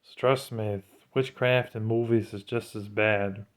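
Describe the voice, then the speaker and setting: A man with a fairly low voice talks in an informal, sermon-like monologue. He sounds earnest and admonishing, like a religious vlogger cautioning his viewers. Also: fairly even intonation, measured pace. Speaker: vlogger giving religious commentary.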